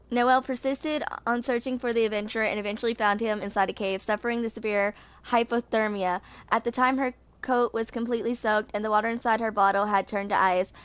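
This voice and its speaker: A woman's voice: medium pitch, a bit bright, clean tone. A woman in her twenties reading video game character lore aloud, stumbling over words now and then. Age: 20s. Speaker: gaming video creator.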